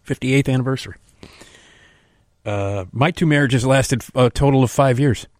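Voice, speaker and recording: Stammering radio host in a dry studio. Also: male voice, medium pitch, moderate pace, no echo. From Chicago. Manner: chatty, stammering with repeated words. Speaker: radio talk show host. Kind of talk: radio interview.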